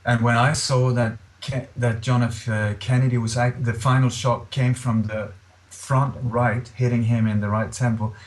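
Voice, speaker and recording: A middle-aged man from Scandinavia with a Scandinavian accent, speaking earnestly and haltingly as an interview guest. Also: mid-range voice, flat delivery, poor audio. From born in Denmark, grew up in Sweden.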